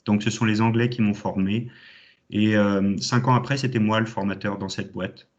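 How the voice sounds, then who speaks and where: A man's voice: on the low side, steady tone, normal speed. Enthusiastic man on a dull call. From Champagne, France. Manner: enthusiastic, many hesitations. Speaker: alumni guest speaker. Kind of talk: online conference talk. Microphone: video call. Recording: dull lo-fi online call audio.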